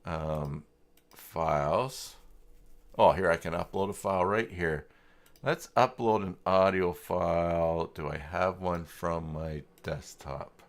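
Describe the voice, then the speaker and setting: Male live-stream tutorial host: unhurried, creaky low register, casual and tentative, talks himself through the screen. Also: steady tone. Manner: hesitant, muttering to himself while searching. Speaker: live stream host demonstrating website software. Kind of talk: casual screen-share tutorial, thinking aloud.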